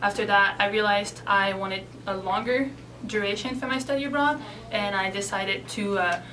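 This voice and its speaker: A woman in her twenties, originally from Belgium, answering interview questions in a relaxed, chatty way with some hesitation. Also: medium pitch, moderate pace, far from the mic. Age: 20s. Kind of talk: casual interview.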